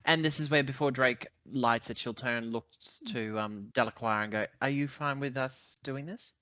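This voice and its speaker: A man playing a tabletop roleplaying game, speaking casually and haltingly in character. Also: medium pitch, sharp tone, dry recording, muffled recording.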